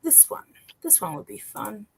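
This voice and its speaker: A woman's voice: mid-range voice, big pitch swings, normal speed, gravelly, a little hiss or hum. A woman hosting a writing stream, chatting casually and leading the group into writing sprints.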